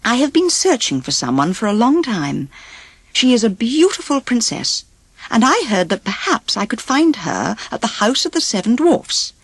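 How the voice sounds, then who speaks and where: A woman's voice: fairly high pitch, sing-song, normal speed, husky, no room sound, voice pushed up, exaggerated voice. A woman voicing a courteous young prince in a storybook reading, speaking earnestly and formally. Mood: courteous, hopeful.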